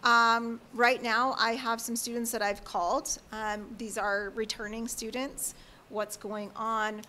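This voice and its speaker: A woman working as a school family support advisor, speaking loudly and earnestly as she explains her work at a public board meeting. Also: fairly high pitch, little pitch movement, measured pace, a little hiss or hum.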